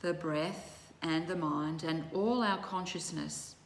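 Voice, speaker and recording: A woman leading a guided relaxation as a yoga teacher, speaking in measured, soothing short phrases with long pauses. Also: lowish voice. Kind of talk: guided meditation instruction. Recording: roomy echo with faint background noise.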